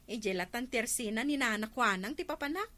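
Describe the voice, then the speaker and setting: Actress as animated, high-voiced village neighbour. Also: female voice, fairly quick. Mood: chatty. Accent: Ilocano. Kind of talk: Ilocano comedy audio drama acting.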